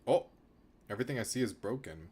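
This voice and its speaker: A man's voice: medium pitch, animated, fast talker, warm tone, breathy edge. A man streaming a video game, reading aloud and reacting, often restarting his sentences as he thinks aloud.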